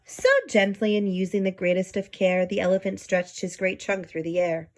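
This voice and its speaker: A woman's voice: mid-range voice, little pitch movement, moderate pace. A woman reading a children's book aloud, adding simple explanatory asides for young listeners. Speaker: read-aloud storyteller.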